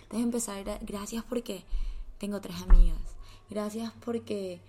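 A young woman, hosting a podcast in a heartfelt, motivational chat that often sinks to a soft whisper. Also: medium pitch, steady tone, normal speed, slight background noise. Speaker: podcast host.

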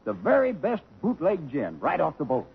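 A meek, middle-aged shopkeeper husband in an old radio comedy. His very husky voice stammers and wavers widely in pitch. The sound is muffled. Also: wildly swooping pitch, normal speed, exaggerated voice. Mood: nervous, genial. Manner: stammering, hesitant. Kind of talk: 1950s radio comedy acting.

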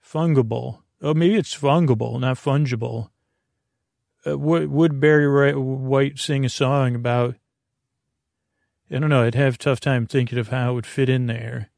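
Creaky middle-aged guy rambling at bedtime, goofy tangents, super dry close mic. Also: mid-range voice, steady tone, a little slow, a little mellow, dry recording. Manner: meandering, self-interrupting, goofy asides. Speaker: sleep podcast host.

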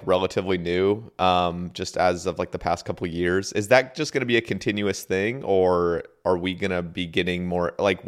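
Croaky low-voiced man hosting a podcast. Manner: relaxed, rambling with fillers and restarts. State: croaky, just woke up. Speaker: podcast host. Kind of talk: casual chat.

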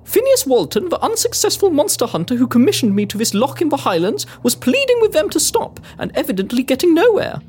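High-pitched haughty lady adventurer, acted by a woman. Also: very animated, a little fast, hardly any pauses, a little mellow. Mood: grandly narrating. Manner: pompous, indignant, dramatic. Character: haughty, indomitable older Victorian lady adventurer. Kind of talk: spoken logbook narration, comic period drama.

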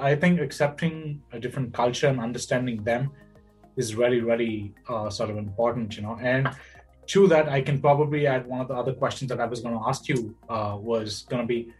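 A man's voice: mid-range voice, steady tone, a little fast, faint music behind. Rambling male podcast host. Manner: rambling questions, tags with "right?" and "you know". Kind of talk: casual interview conversation.

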